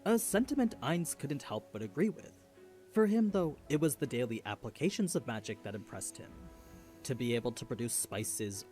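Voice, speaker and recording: A man's voice: mid-range voice, a little mellow. A man reading scripted explainer narration for a video.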